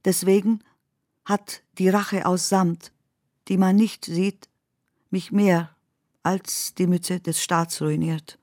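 A woman reciting poetry in a radio play with measured, deliberate phrasing. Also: lowish voice, fairly even intonation, a little mellow, no echo, studio quality. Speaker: poet reading own text. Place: dry studio.

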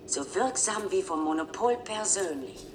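An actress playing an automated street advertising machine in a radio play, delivering a polite, persistent sales pitch. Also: female voice, lively intonation, normal speed, close to an everyday voice. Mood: coaxing. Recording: distant, noisy radio drama sound.

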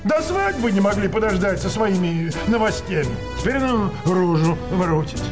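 An actor playing a resentful, sneering young nephew in a radio play. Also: male voice, high voice, animated, normal speed, over music. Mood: bitter, scornful. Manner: sneering, exasperated. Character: resentful, scornful young nephew, jilted bridegroom.

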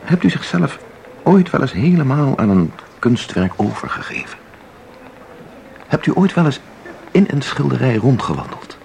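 A man's voice: medium pitch, very animated, moderate pace, gravelly, long pauses, a little mellow, a little hiss or hum, poor audio. An actor playing an intense, mysterious man in a radio drama, speaking with insistent, theatrical deliberation. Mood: probing, self-assured. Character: intense, mysterious man who claims to enter paintings. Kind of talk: Dutch radio drama acting.

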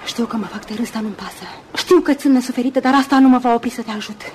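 An actress playing an earnest, lovelorn young woman in a Romanian radio play, speaking with halting intensity. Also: on the high side, a little mellow, slight background noise, close to an everyday voice. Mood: pleading, emotional. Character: Susan, earnest lovelorn young woman, self-effacing but insistent. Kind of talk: Romanian radio theatre acting.

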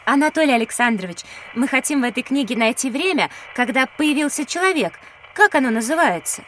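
An actress playing a polite, inquisitive school-age child, earnest and courteous, in an educational audio drama. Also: female voice, sits high, lively intonation, fairly quick, fairly bright tone, a little hiss or hum. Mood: questioning.

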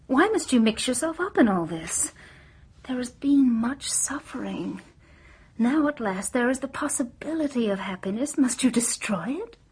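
Defiant suspect, married woman, radio drama, composed, earnest, slightly breathy-rough voice. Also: a little high, expressive, normal speed, darkish tone. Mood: pleading. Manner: guarded, formal, earnest.

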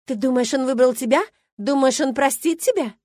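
A woman voicing a furious, spiteful younger woman in an audiobook, shouting accusations shrilly at her romantic rival. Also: high-pitched, normal speed, dry recording, studio quality, pitched above the performer's own voice. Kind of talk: dramatic audiobook character dialogue.

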